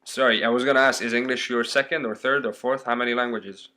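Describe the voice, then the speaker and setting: A man's voice: medium pitch, steady tone, fast, pushed and bright. A man hosting a podcast interview, chatting casually and rambling with fillers. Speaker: podcast host.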